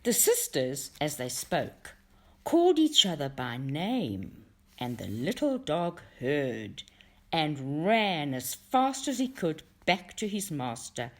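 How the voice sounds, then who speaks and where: Female storybook narrator, low register, deliberate pace, very varied intonation for a folktale. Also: big pitch swings. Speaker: reader of a folktale for a book study group.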